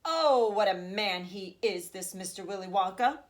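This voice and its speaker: A woman voicing an excitable, kindly old grandfather from a children's storybook, dramatic and savoring each detail. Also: medium pitch, a little slow, lightly acted. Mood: excited, marveling. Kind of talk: children's storybook read aloud.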